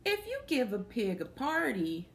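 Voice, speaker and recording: A woman's voice: on the high side, wildly swooping pitch, measured pace, slight background noise. A young adult woman reading a picture book aloud to children, stopping to put questions to her young listeners. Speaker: children's story reader. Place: small plain room indoors.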